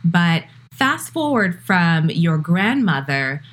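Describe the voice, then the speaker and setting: A woman's voice: on the low side, sing-song, slow, a bit bright. A woman hosting a podcast, presenting and interviewing in a conversational style.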